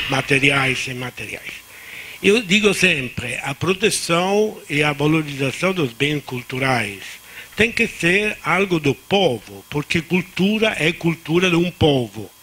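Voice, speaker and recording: A man's voice: on the high side, sharp tone, slightly rough. A man with an Italian accent, a native Italian speaker, giving unscripted remarks as a discussant on a conference panel, often restarting words and slipping into Spanish. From Italy.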